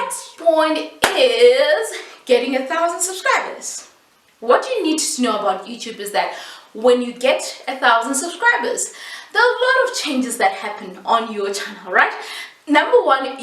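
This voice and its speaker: A South African woman, a native Setswana speaker, giving casual vlog tips in an animated, emphatic, playful way with repetition for stress. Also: high-pitched. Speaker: YouTube host giving tips. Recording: echoey room, distant mic.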